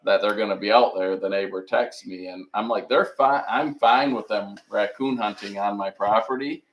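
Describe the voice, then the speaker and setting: Man, loud voice, livestream host, casual chat, chatty storyteller, teasing. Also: on the low side, normal speed, lo-fi sound. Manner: chatty, teasing, laughs often.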